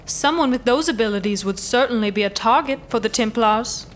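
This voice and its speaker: A woman's voice: fairly high pitch, brisk, slight background noise, lightly acted. A woman playing a noble elven commander in a fantasy audio drama, speaking in formal, stately oratory. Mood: formal, commanding.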